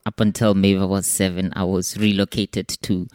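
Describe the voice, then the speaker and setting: Low-voiced South African man, recorded dry. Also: flat delivery, moderate pace, a little mellow, no room sound. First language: Sepedi. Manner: discursive, frequent 'you know'. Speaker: artist, podcast guest. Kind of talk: explanatory interview answers. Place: gallery space.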